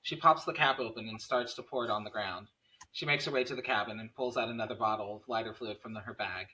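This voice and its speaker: A man's voice: on the low side, steady tone, quick pace, pushed and bright. A man reading out screenplay stage directions at a table read, picked up by a distant microphone.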